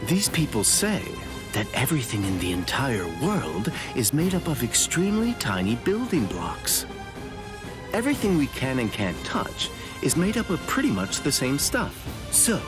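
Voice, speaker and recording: A man's voice: medium pitch, huge pitch swings, moderate pace. Sly, wildly swooping man. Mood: teasing curiosity. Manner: teasing, drawling, mock-innocent. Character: sly, playful man gossiping with theatrical curiosity. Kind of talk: anime video game voice acting. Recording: music behind. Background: background music.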